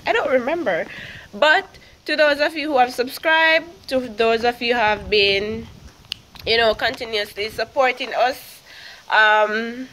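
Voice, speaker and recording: Young Jamaican woman, high and bouncy, easygoing teasing vlogger, taking her time. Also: a little slow, bright, forceful tone, a little hiss or hum, rough recording. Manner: playful teasing, lots of laughing. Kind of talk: casual vlog chat. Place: outdoors on a grassy rural farm.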